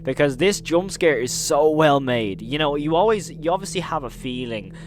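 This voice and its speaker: A man's voice: fairly high pitch, animated, moderate pace, soft background music. A man hosting a casual recap commentary, telling the story emphatically and leaning on intensifiers. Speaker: video host and narrator.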